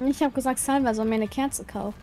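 A woman's voice: on the high side, steady tone, fast, dark tone. A woman chatting casually and teasingly with friends in a voice chat. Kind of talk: casual group voice chat over gameplay.

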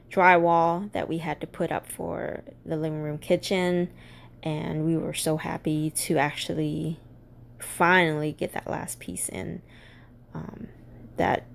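A woman's voice: deep voice, a little hiss or hum. A woman casually narrating a home renovation video, chatty and full of ums while giving practical tips.

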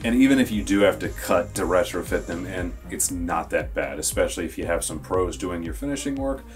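A man's voice: low voice, lively intonation, brisk, faint music behind. An American man in his thirties giving an enthusiastic, emphatic product review to camera as a store presenter. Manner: enthusiastic, emphatic sales talk. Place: small indoor studio with dark backdrop.

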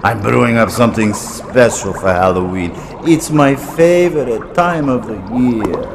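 Halloween radio play: sinister mad-scientist storyteller, theatrical, very slow and drawn out. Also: male voice, medium pitch, creaky, background noise. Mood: gleefully eerie. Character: sinister hospitable mad-scientist horror host, cackling brewer.

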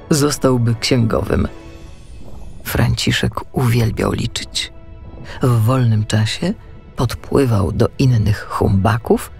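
A man narrating a children's storybook in an expressive, unhurried storytelling manner. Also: mid-range voice. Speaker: audiobook narrator.

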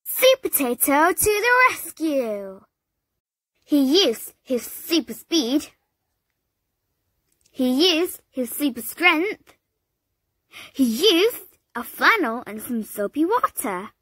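Lady reading a kids storybook real slow, breathy, big sing-song swoops. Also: no room sound, studio quality. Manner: slow and expressive, jumps up high for dramatic cries. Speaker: storybook reader. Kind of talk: children's read-aloud narration.

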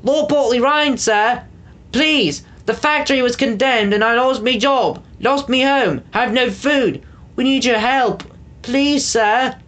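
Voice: slow pace, fairly bright tone. A reader doing a frail, pleading old man. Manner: high, desperate pleading, working-class dialect.

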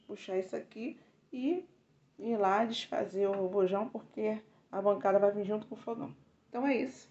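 A woman's voice: mid-range voice, moderate pace, darkish tone, dull audio. A woman in her fifties chatting casually to her viewers from a small tiled home kitchen. She talks into a phone held close and keeps saying "gente" as she works. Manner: chatty, repeats "gente" often, pleading for likes. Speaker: home DIY vlogger. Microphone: phone camera held close.

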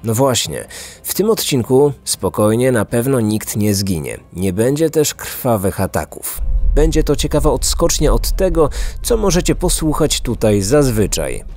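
A man's voice: mid-range voice, very animated, unhurried, a little mellow. A man from Poland in his thirties narrating a scripted story as a podcast host. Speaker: true crime podcast host. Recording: music bed behind the voice. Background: background music.

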